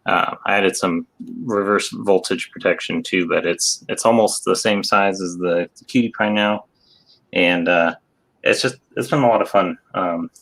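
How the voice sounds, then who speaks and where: A man casually showing off his own projects as a guest, pausing often as he goes. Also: sits low, a bit bright. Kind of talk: casual show-and-tell.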